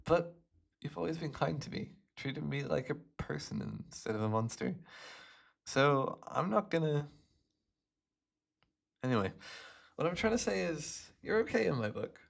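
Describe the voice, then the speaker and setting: Man playing a swooping, creaky-voiced gleeful supervillain. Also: a little high, expressive, a little slow, pauses often, exaggerated voice. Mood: teasing, pleased. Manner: gloating, teasing, theatrical, swooping between murmurs and exclamations. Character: gleeful hypnotist supervillain, smug, playful escaped prisoner. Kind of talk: ASMR roleplay, addressing the listener.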